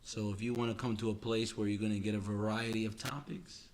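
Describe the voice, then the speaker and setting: A man co-hosts a casual podcast conversation, speaking in a halting, reflective way and stretching words for emphasis. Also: lowish voice, level tone, slight background noise. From Queens, New York, United States. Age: 40s. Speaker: podcast co-host.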